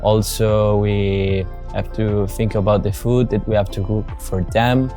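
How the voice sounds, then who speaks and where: Italian volunteer in his twenties, lowish voice, earnest testimonial over faint music. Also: level tone, measured pace, dark tone. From Italy. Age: 20s. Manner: earnest, simple phrasing in non-native English. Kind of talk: interview testimonial.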